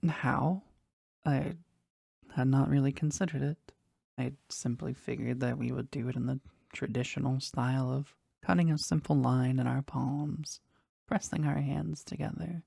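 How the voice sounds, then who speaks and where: An actor playing a powerful, devoted demon bound to a sorcerer in an intimate romantic audio roleplay, speaking formally and tenderly in a breathy voice that often drops toward a whisper. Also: mid-range voice, fairly even intonation, dark tone, no room sound, studio quality, full character voice. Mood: curious, tender. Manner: formal, slow, tender, halting with emotion.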